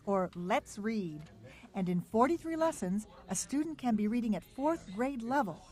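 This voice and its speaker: A woman reporter giving scripted, upbeat narration for a TV news feature. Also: on the high side. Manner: upbeat, clear reporter delivery.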